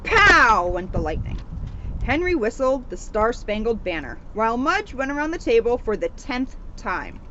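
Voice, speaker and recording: A woman reading a children's storybook aloud in an animated, expressive way, with emphasis on the sound words. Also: fairly high pitch, normal speed. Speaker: storybook reader, police officer. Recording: noisy, dull outdoor recording.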